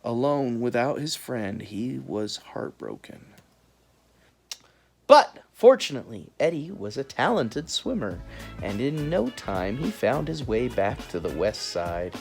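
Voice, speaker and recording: A woman reading a children's book aloud in an animated, dramatic storytelling style. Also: very deep voice, takes it slowly, gravelly, breathy edge, soft background music. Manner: dramatic, expressive delivery.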